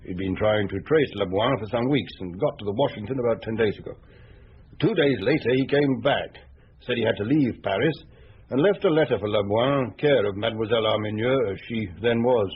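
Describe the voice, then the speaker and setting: Very husky, businesslike investigator. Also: male voice, on the low side, a little fast. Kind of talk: radio drama acting. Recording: dull radio recording.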